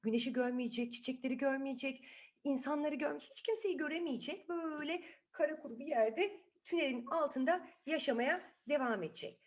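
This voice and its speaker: Bir kadın, çocuklara sorular sorarak, sözleri tekrarlayarak dramatik bir dille doğaçlama masal anlatıyor. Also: female voice, on the high side. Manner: dramatic, repeats words, asks listeners questions. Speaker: children's storyteller. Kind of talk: improvised, animated storytelling to children.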